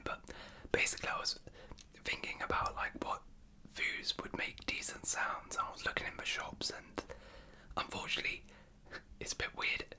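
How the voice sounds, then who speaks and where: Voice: extremely high pitch, bright, forceful tone. A British ASMR host whispering casual, unscripted chat in a hesitant, rambling way. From United Kingdom.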